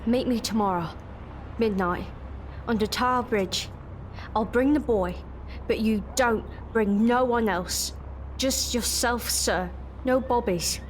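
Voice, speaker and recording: A woman's voice: a little high, slow, a little hiss or hum. An actress playing a weary but determined, kind working-class London woman in an audio drama. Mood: urgent, nervous. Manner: earnest, plain-spoken.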